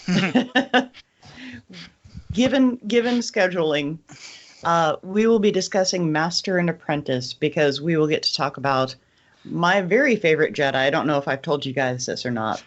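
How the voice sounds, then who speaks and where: A woman's voice: deep voice, animated, moderate pace, dull audio. A woman co-hosting a casual book discussion among friends, speaking with wry, dry humor. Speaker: podcast co-host.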